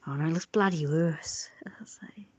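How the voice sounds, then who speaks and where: Woman rambling in a lowish voice. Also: normal speed, darkish tone, highs cut off. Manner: rambling, self-critical. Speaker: streamer and artist drawing. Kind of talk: casual livestream chat while drawing.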